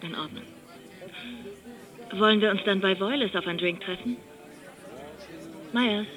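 An actress playing a persistent wife in a radio drama, talking in a chatty, coaxing way. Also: female voice, medium pitch, a little slow, a bit bright, faint music behind, a faint crowd, lightly acted. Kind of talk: naturalistic radio drama dialogue.

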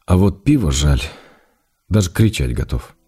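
A man's voice: low voice, very animated, unhurried. An actor playing an affectionate, wry writer reading his intimate letters to his wife in a radio drama. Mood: wry grumbling.

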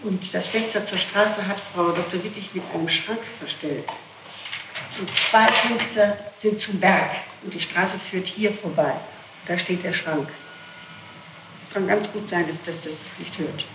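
A person under police questioning recounts events in a mid-pitched voice, explaining and correcting themself along the way. The archival tape is roomy, with clear noise over the speech. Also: a little slow. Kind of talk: interrogation testimony, recounting events.

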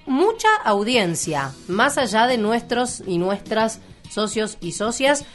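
A woman's voice: on the high side, very animated, slow pace, faint music behind. Chatty Argentine woman radio host. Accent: Argentine Spanish. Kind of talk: casual radio chat and report.

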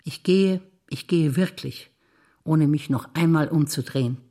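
An older woman, an actress reciting memories in a quiet, measured way on stage. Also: low-pitched.

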